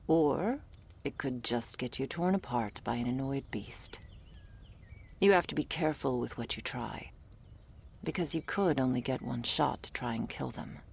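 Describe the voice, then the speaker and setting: Woman voicing a guarded female monster hunter, extremely deep pitch, creaky gravel, blunt, sardonic, defiant, roleplay audio drama. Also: darkish tone, exaggerated voice.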